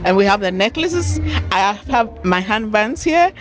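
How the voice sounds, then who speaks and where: A woman's voice: medium pitch, sing-song, unhurried, over music. A woman from Ghana with a Ghanaian accent, speaking plainly and in a friendly way about her handmade crafts at an outdoor festival. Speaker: craft vendor.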